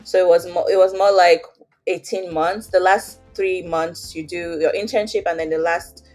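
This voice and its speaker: A woman's voice: fairly low pitch, fairly even intonation, moderate pace, darkish tone, soft background music. A young woman with a Nigerian accent, telling her story as an interview guest in a chatty way, with many fillers. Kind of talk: casual interview, personal story.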